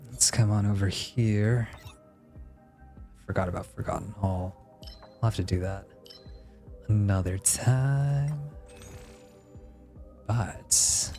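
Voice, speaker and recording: A man's voice: on the low side, a little mellow. A man streaming a video game with casual live commentary, tossing out exclamations and muttering as he reads along. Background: faint game music.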